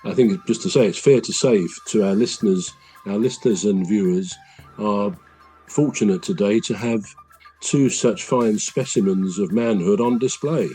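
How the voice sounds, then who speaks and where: Rambling man, low mellow voice. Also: normal speed, husky, soft background music, low-quality recording. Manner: rambling, self-correcting, gently humorous. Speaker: podcast co-host. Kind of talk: casual chat.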